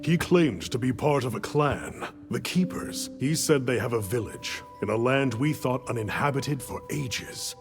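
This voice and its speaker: Formal, authoritative guild superior. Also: male voice, mid-range voice, expressive, music in the background. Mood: grave, measured. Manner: formal, measured, deliberate. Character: composed, authoritative male guild superior giving orders. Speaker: guild official briefing a hunter. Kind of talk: cinematic game cutscene briefing.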